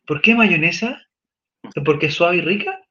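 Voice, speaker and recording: A man's voice: sits high, expressive, normal speed, bright, forceful tone, muffled recording. A man with a Chilean accent hosting a casual chat, rambling and joking in slang while he reads viewer comments. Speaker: podcast host.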